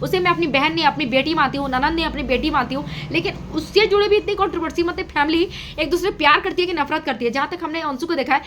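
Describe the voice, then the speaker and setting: A woman with a very high, bright and sharp voice. She gives casual reaction commentary straight to her viewers, hammering points home through repetition. There is faint noise behind her. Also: fast, few pauses. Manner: repetitive, emphatic phrasing. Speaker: reaction video host. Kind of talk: casual gossip commentary to an audience.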